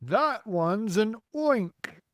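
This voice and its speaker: A man voicing an excitable, alarmist fire chief in a storybook, with a dramatic, fretful delivery. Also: high voice, a little slow, dry recording, studio quality, pitched above the performer's own voice. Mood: panicked. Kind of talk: storybook character acting.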